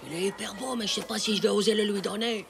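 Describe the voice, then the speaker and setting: An actor voicing an excitable, easily exasperated ten-year-old boy in a French cartoon, whiny and dramatic. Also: medium pitch, fast talker, vocal fry, noisy background. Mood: lovestruck, hesitant. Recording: music behind the voice.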